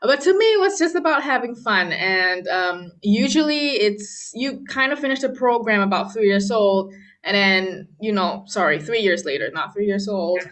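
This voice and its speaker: A woman's voice: mid-range voice, lively intonation, moderate pace, fairly bright tone. A young woman from Malaysia, a pianist, chatting casually in an interview with plenty of fillers and laughs. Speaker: guest pianist.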